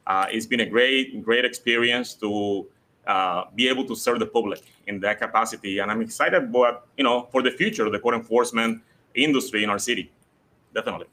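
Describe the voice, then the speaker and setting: A middle-aged man from Rico, talking as a guest in a live interview at a convention center. He speaks earnestly, repeating words and filling pauses with "uh". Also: lowish voice, bright, forceful tone, a little hiss or hum. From Puerto Rico. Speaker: guest, city code department director.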